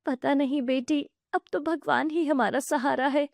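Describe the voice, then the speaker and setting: A woman's voice: high voice, steady tone, unhurried, gravelly, no room sound, pitched above the performer's own voice. Woman voicing a pleading widowed young mother. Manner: pleading, earnest.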